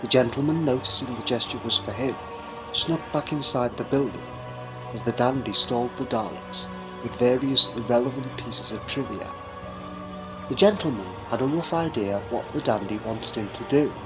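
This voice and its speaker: A male narrator reads a scripted story aloud. His voice sits at an average pitch and his delivery is dramatic. Music plays clearly behind the narration. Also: low-quality recording.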